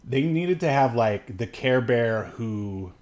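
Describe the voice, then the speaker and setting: Man musing in a halting ramble. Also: medium pitch, lively intonation, darkish tone. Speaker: podcast co-host reviewing films. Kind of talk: casual film-review chat.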